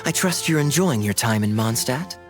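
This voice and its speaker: A man playing a suave, courteous young knight captain in a video game, with a smooth and playful manner. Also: medium pitch, lively intonation, moderate pace, music in the background, natural voice. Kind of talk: animated video game voice acting.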